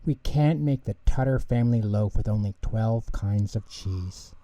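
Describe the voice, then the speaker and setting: A man voicing an anxious, excitable little male mouse in a storybook, with a fretful, exclaiming manner, in an echoey room. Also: on the low side, a little slow, close to an everyday voice. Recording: echoey room, distant mic.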